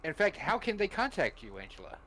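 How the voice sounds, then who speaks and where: A man's voice: high-pitched, wildly swooping pitch, brisk, bright, forceful tone. A man speaking casually as a guest on a livestream. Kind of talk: casual chat.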